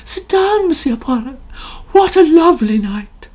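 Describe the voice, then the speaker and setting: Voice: sits high, sing-song, measured pace, a little mellow, pitched above the performer's own voice. A narrator putting on a gushing, pompous lady novelist. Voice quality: complaining, melodramatic.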